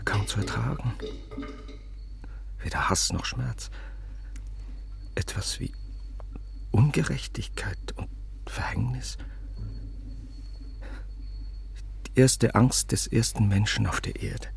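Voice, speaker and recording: An actor playing a brooding, bitter newspaper editor in a radio play, speaking in halting, hushed phrases with sudden emphasis. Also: male voice, lowish voice, creaky, in a whisper, heavily acted. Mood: anguished brooding. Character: brooding, bitter middle-aged male newspaper editor, tormented and curt. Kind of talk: literary German radio play, interior monologue.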